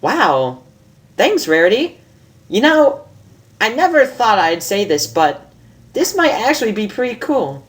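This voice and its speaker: A woman voicing a brash but awkward young tomboy pony in a storybook reading, blunt, slangy and halting. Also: a little high, sing-song, voice pushed up. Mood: grudging, relieved.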